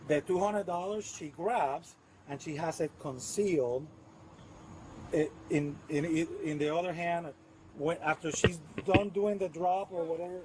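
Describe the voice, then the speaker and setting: Man, Puerto Rican accent, police officer, methodical explaining, slightly high voice, bodycam mic, faint background noise. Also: unhurried. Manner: patient, detailed, methodical. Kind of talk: explaining a case step by step. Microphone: body camera.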